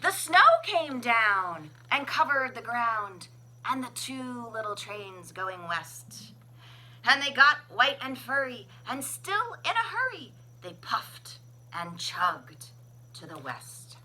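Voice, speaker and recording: A woman reading a children's picture book aloud as a librarian, with expressive, sing-song, deliberate phrasing. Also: a little high, slight background noise, low-quality recording. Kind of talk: children's storybook read-aloud.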